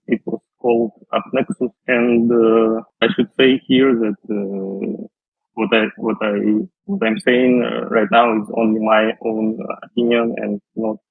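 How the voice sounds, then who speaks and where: A man, answering interview questions slowly and carefully, with many fillers and restarts. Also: lowish voice, gravelly, breathy edge, no echo. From Russia. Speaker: guest, data scientist. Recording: tinny phone-like line.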